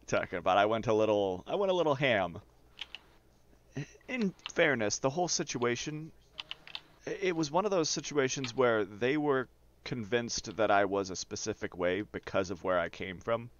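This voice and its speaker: A man's voice: mid-range voice, expressive, moderate pace, lo-fi sound, a little hiss or hum. A man casually telling rambling, profane personal stories as a streamer over gameplay. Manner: rambling, profane, often chuckles while talking.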